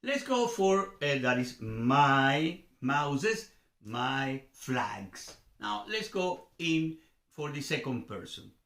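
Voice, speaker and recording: A man's voice: mid-range voice, very animated, slow, fairly bright tone, roomy sound. A man from Venezuela with a Venezuelan accent and native Spanish gives an informal grammar lesson as a teacher, repeating examples and checking understanding with questions. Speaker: language teacher and host.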